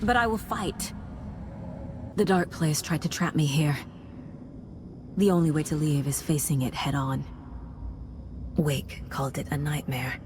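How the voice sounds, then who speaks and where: A woman's voice: low voice, measured pace, pauses often, noisy background. A woman acting in a video game drama as an anguished but determined character trapped in her own mind. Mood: anguished, resolute.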